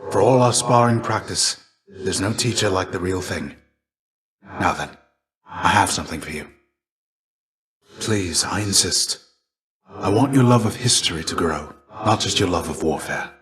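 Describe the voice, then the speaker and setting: A fallen hero's shade from a video game, with a deep voice. He is a fatherly, weary mentor who speaks formally and gently. His phrasing is measured and archaic, like an old soldier of legend. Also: expressive. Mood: encouraging, kindly. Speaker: game character voice actor. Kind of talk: scripted video game dialogue.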